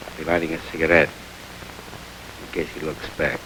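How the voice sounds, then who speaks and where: An actor playing a gruff, threatening gangster robber in an old radio drama. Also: male voice, a bass voice, level tone, a little fast, bright, forceful tone, a little hiss or hum, low-quality recording. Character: menacing male gangster robber, tough. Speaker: stickup man. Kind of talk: 1940s radio acting.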